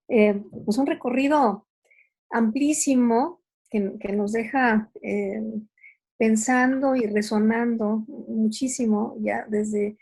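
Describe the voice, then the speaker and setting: Woman moderating, slow n thoughtful, raspy edge, halting reflective comments. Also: a little high, darkish tone, poor audio.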